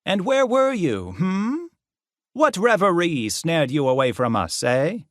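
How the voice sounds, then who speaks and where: Male narrator as ranting male professor, raised high pitch, preachy, sarcastic, menacing, audiobook character. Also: very animated, dry recording, studio quality. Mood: mocking, sarcastic. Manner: preacher-like declaiming, mocking, drawn-out taunting questions.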